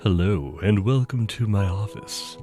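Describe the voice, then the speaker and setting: A man with a stuffy nose voicing a polite, earnest male examiner in an office, over loud game music. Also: lowish voice, lively intonation, unhurried. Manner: courteous, earnest, insistent.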